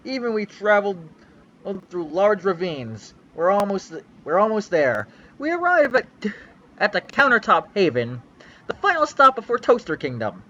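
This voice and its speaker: A man's voice: high-pitched, big pitch swings, moderate pace, sharp tone, muffled recording. Young man doing a theatrical reading. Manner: theatrical, sweeping storyteller delivery. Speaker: reader on a livestream. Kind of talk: mock-dramatic reading of a fanfic.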